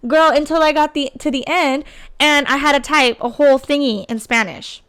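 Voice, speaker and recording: A woman's voice: high-pitched, fairly even intonation, moderate pace, a bit bright. A young adult woman chatting casually about her test experience, often saying "girl" and "like". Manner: chatty, says "girl" and "like" a lot. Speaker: teacher candidate sharing test experience.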